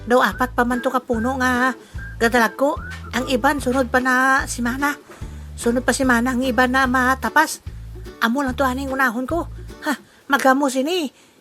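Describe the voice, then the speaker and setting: A woman's voice: high-pitched, steady tone, moderate pace, fairly bright tone, faint music behind. A woman playing a sneaky, gleeful thief who chuckles and mutters greedily in a comedic audio drama. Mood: gleeful scheming.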